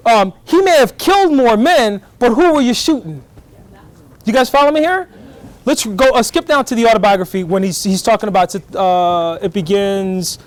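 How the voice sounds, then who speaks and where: A middle-aged man giving a lively college lecture in fast bursts, often checking whether the class is following. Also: high-pitched, no room sound. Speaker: guest lecturer, professor.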